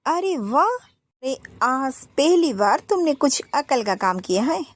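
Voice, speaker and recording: A woman's voice: high-pitched, sing-song, slow, pitched above the performer's own voice, full character voice. A woman voicing a lazy, stubborn elder daughter-in-law who grumbles about chores in a storybook tale. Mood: grumbling.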